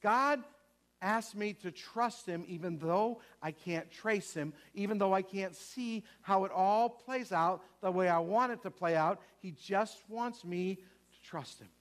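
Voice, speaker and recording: A man with a notably high voice delivers a sermon with strong emphasis. He repeats his key phrases and puts questions to his listeners. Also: measured pace, a bit bright. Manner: emphatic, repetitive, asks the congregation questions. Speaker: preacher.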